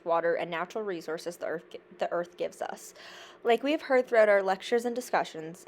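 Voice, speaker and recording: A woman's voice: lowish voice, slight background noise. A young woman from Canada, a university student, reading a prepared class presentation in an earnest, careful way, with small slips and restarts. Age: young adult.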